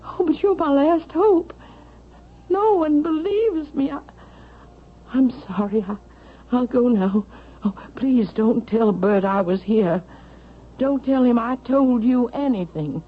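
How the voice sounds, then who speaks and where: Slow-speaking, anxious older mother, tinny sound. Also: high-pitched. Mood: pleading. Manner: pleading, halting, stammering. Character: anxious, frightened older widowed mother, mistrusting her son-in-law. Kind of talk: vintage radio drama acting. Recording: thin phone-like old radio, music behind.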